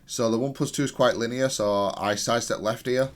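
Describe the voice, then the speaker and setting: A man's voice: mid-range voice, fairly even intonation, brisk. A man reviewing his own play in analytical gameplay commentary, speaking in a matter-of-fact, self-critical way. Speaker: gamer reviewing own match.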